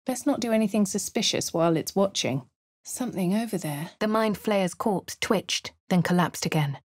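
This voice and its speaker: An actress with a posh English accent playing a composed adventurer in a game, speaking in a dry, measured, lightly sardonic way. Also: female voice, medium pitch, lively intonation, no room sound, close to an everyday voice. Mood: wry. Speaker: player character. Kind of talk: game voice lines. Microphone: studio mic.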